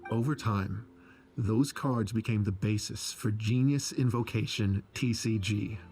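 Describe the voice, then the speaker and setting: A man's voice: lowish voice, a little slow, a little mellow, faint music behind. A man voicing a wistful, self-blaming scholar in a video game, speaking hesitantly. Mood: reflective, sad. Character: downcast, self-blaming, soft-hearted male scholar.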